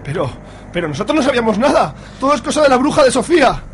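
A man playing an excitable, scolding friend in an amateur radio soap. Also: very high-pitched, fast, slight background noise. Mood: pleading.

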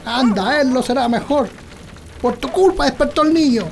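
An actor playing a grumpy, stubborn, sleepy old country husband in a comic radio drama, grumbling with a Chilean accent. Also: male voice, very high-pitched, expressive, normal speed, gravelly, slight background noise, exaggerated voice. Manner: grumbling, colloquial.

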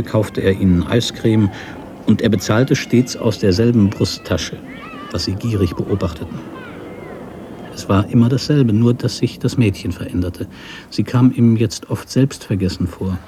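A man narrating literary prose for a radio drama in a measured storytelling manner. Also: on the low side, dark tone, a little hiss or hum.

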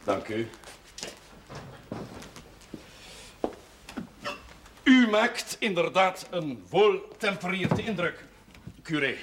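Witty young-spirited curate, fairly high, swooping voice, florid and courteous; TV drama with music behind. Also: male voice, big pitch swings, slow pace, noisy background, exaggerated voice. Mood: gallant, playful. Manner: flowery, courteous, bantering. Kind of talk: 1970s television drama acting.

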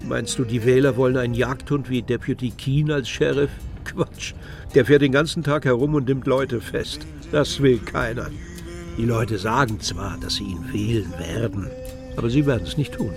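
Blustery confident campaign manager guy an actor, reassuring, radio play with clear music under it. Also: medium pitch, normal speed, lightly acted. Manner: emphatic, reassuring. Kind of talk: radio drama acting.

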